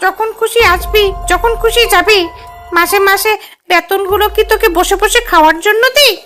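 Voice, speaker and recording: A woman's voice: very high-pitched, normal speed, fairly bright tone. A woman voicing a stingy, bossy female animal employer in a children's cartoon, scolding and haughty. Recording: music under the voice.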